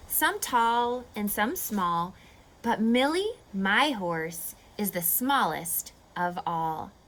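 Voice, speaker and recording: A woman reading a picture book aloud to children like a teacher, expressively, pausing to explain and ask questions. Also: on the high side. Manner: expressive, instructive, asking questions of young listeners.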